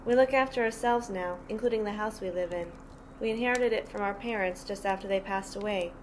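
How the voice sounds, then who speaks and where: Woman playing tough motherly sister, medium pitch, matter-of-fact, amateur audio drama, slightly noisy recording. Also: a little fast, lightly acted. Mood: subdued. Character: protective, tough sister mothering five troubled orphaned brothers. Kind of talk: amateur audio play, in-character interview.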